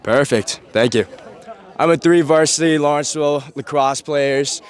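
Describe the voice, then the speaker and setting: A young man working as a student sports reporter, asking players quick, upbeat questions outdoors at a field. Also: a little high, some chatter behind. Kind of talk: casual sideline interview.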